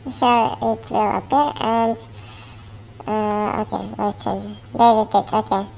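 A man through a voice changer as a high-pitched shifted voice. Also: little pitch movement, vocal fry, darkish tone, a little hiss or hum, full character voice. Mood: testing. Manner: halting, hesitant with fillers.

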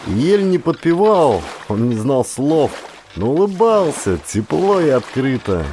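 A man narrating a historical adventure audiobook as a scripted, expressive dramatic storytelling. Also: mid-range voice, measured pace, a little hiss or hum. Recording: clear ambient sound effects behind voice. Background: ambience sound effects.

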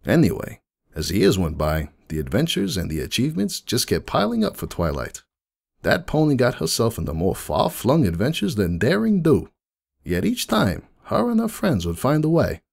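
A man voicing a jaded, rueful middle-aged pony who runs a donut shop, rambling folksily in a confiding dramatic monologue with a gravelly voice. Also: medium pitch, huge pitch swings, moderate pace, a little mellow, heavily acted. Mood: fond, nostalgic. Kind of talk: dramatic first-person monologue reading.

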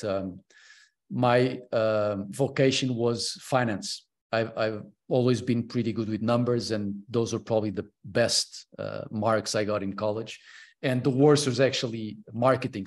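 A man in his early forties from Portugal, a podcast guest talking casually and hesitantly, with many fillers and restarts, over a video call. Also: mid-range voice. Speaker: podcast guest, consultant and mentor. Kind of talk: casual interview chat. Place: home room, on a video call.

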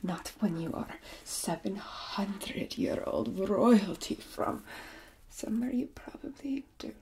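A woman playing an ancient, haughty, aggrieved vampire in a comic ASMR roleplay, theatrical and breathy, often sinking into whispers. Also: medium pitch, lively intonation, slow, a little mellow. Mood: sulking, haughty. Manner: theatrical, indignant, grumbling. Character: ancient, haughty, aggrieved seven-hundred-year-old vampire.

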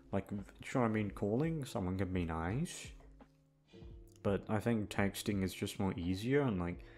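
A man with a fairly low voice talks off the cuff to his listeners. He rambles in a hesitant, self-deprecating way and swears freely. Soft music plays faintly behind him. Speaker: ASMR audio creator and host. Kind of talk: casual rambling outro chat to listeners.

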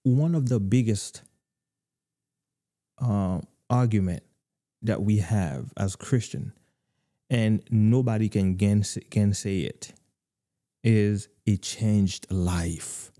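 A young man hosting a live faith talk show over a video call, preaching earnestly with many filler pauses, on a studio microphone. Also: on the low side, mellow, no echo. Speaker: host. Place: home room, video call. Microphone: studio mic with headphones.

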